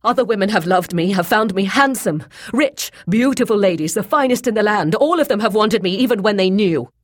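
Proud shapeshifter man played by a female reader. Raised, loud, husky, wildly imploring, fantasy audiobook. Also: fairly low pitch, animated, normal speed, pitched above the performer's own voice. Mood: wounded, passionate. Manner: urgent, imploring, intense delivery. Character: proud, passionate male shapeshifter, pleading wildly.

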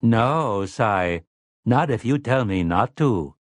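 A man's voice: mid-range voice, animated, slow, dry recording, studio quality. A man voicing a smug, polite male robot with stiff, formal, old-fashioned phrasing in an audiobook. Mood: smug, eager. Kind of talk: audiobook character voice.

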